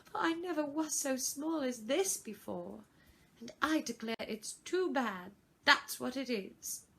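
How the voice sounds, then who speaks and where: A woman's voice: high voice, expressive, a little slow, gravelly, a bit bright, pitched above the performer's own voice, exaggerated voice. A woman voicing a fretful, puzzled young girl in a storybook, with a plaintive, dramatic manner. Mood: dismayed. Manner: plaintive, dramatic, self-questioning.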